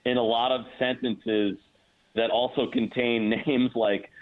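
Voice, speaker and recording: A man's voice: mid-range voice, little pitch movement, a little slow. A man, a play-by-play announcer appearing as a guest, talks through hockey analysis conversationally over a remote call. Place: remote call into a radio show. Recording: tinny, narrow-band phone line.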